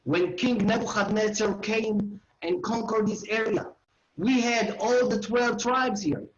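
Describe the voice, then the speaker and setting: A man in his forties with an Israeli accent lectures in a high-pitched voice, speaking directly to his listeners in simple phrases. He is on a video call from a home, and the sound is roomy and a little dull. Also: steady tone, a little slow. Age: 40s. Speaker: educational tour guide giving a lecture. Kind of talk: online lecture, oral history. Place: living room at home.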